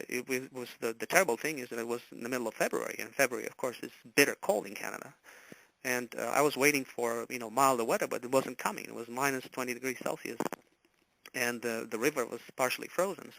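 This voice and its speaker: A man in his forties, from Romania, recounting a personal story as an interview guest, halting and full of filler words. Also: medium pitch, gravelly, bright, forceful tone, dry recording, low-quality recording. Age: 40s.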